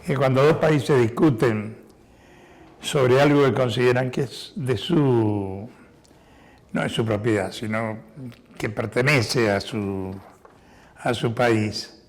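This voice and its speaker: Hoarse older man, slow and measured. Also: mid-range voice. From Spain. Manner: measured, explanatory, with many hesitations. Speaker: war veteran giving testimony. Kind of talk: testimony to an audience.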